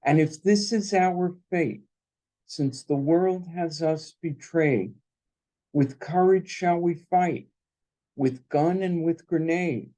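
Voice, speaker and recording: Slow, solemn man reading poetry. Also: on the high side, dark tone, low-quality recording. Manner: solemn, deliberate. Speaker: son of the poet, introducing and reading.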